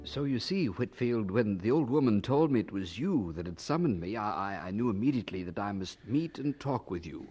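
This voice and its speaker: A man's voice: lowish voice, expressive, brisk, hardly any pauses, darkish tone, soft background music, natural voice. An actor playing a kindly, eager young churchman in a radio drama, earnest and probing, with a slight stammer. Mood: amused, eager.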